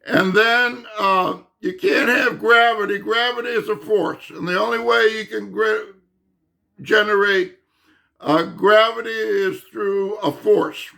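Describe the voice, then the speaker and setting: Man, fading hoarse voice, bright tone, slow halting delivery, insists and repeats points. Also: high-pitched, steady tone. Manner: insistent, emphatic, repeats himself; halting, with many fillers and restarts. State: losing his voice. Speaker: channel host explaining physics. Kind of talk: unscripted monologue to camera.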